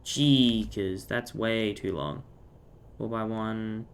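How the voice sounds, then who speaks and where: A man with an Australian accent and a croaky voice, chatting playfully and joking while he streams a game. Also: lowish voice, extremely slow. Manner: joking, playful, self-mocking. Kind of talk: casual gaming chat.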